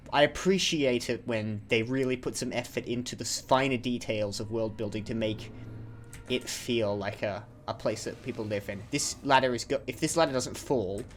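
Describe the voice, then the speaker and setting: A man's voice: mid-range voice, normal speed, a little hiss or hum. A young man giving casual, rambling commentary while playing a video game, often cutting himself off mid-sentence. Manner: rambling, chatty, self-interrupting. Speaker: let's play host.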